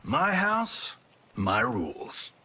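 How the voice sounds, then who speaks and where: Cocky American movie star, swooping pitch. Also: male voice, wildly swooping pitch, very slow, background noise, full character voice. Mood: cocky defiance. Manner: showy, self-promoting. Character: cocky fading male action movie star. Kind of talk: video game cinematic acting. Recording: music behind the voice.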